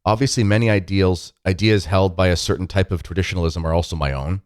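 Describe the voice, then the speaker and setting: A man's voice: low voice, steady tone, quick pace, no echo, studio quality. A man hosting an interview podcast, talking in a friendly, rambling way with many fillers. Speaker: podcast host.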